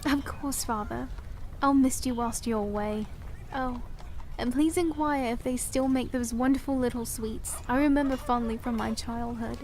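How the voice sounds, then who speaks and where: A woman's voice: a little high, steady tone, normal speed, a little mellow, a little hiss or hum, close to an everyday voice. A woman playing a loving, proud princess of nearly twenty in a fantasy audio drama, with formal old-world diction. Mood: wistful. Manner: formal, archaic storybook diction.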